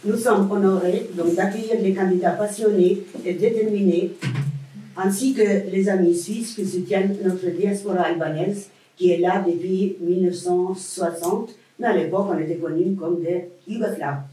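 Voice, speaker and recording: An event host reading formal announcements and introducing speakers in a measured way. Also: mid-range voice, steady tone, warm tone. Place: large hall at an event. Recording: echoey hall, distant mic.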